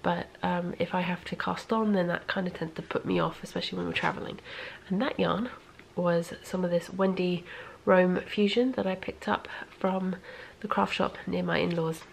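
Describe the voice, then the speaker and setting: A woman's voice: lowish voice, steady tone, moderate pace, gravelly, hardly any pauses, a little hiss or hum, poor audio. A woman chatting casually in a vlog in a rambling way, audibly congested and sniffly, sometimes dropping almost to a whisper. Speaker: knitting vlogger.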